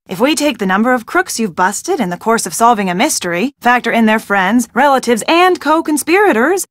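A woman voicing a young, worried and sardonic friend of a detective in a video game, with an expressive, conversational delivery. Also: sits high, no echo, clean studio sound. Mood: sarcastic.

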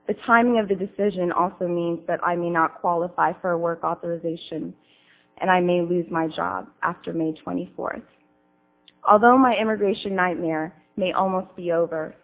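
A young woman, a student witness, earnestly reading prepared testimony at a hearing. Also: fairly low pitch. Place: congressional hearing room. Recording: tinny lo-fi archive recording.

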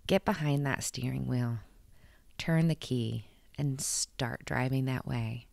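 A woman in her thirties speaks earnestly and warmly to her viewers, encouraging them and often restarting her sentences. Her voice sits low with a gravelly fry. The sound is dry and close, from a handheld mic in her bedroom. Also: slow pace, no echo. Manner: earnest, heartfelt, encouraging, with frequent restarts and laughs. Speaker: vlog host. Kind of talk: casual motivational talk to camera. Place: bedroom at home, indoors. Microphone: handheld microphone held close.

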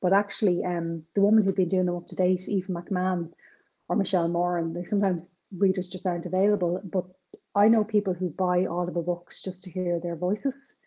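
A woman's voice: fairly low pitch, rough recording. A woman in her forties with a North Side Dublin accent, a crime author chatting over a video call from her kitchen. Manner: chatty, animated, quick asides. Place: home kitchen with shelves.

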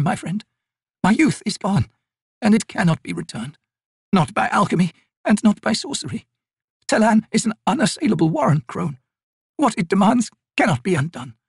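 Brief: ancient wisewoman, grieving and bitter, ragged high voice, breathy, dry booth. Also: no room sound, exaggerated voice. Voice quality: cracked, ragged.

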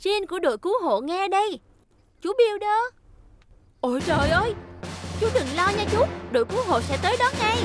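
Very high-pitched cartoon rescue dispatcher. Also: female voice, sing-song, brisk, pauses often, over music. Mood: urgent, reassuring. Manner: exclamatory, efficient. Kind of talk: Vietnamese cartoon dubbing.

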